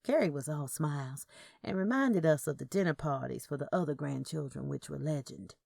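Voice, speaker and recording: A woman's voice: sits low, normal speed, creaky, a little mellow, no room sound, studio quality. A woman narrating a first-person story, with character dialogue acted out. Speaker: narrator of audio fiction.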